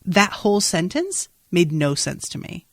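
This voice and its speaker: A woman's voice: lowish voice, sing-song, a little slow, no echo, clean studio sound. A woman podcast host talking through a TV episode in an informal, rambling way with exclamatory asides. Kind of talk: casual TV recap chat.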